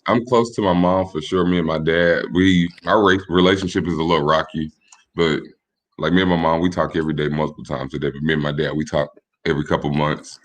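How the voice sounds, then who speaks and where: A man in his late twenties, from Michigan, speaking as a podcast guest, relaxed and a bit hesitant with restarts. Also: very deep voice, fast. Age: 20s. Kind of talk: casual interview chat.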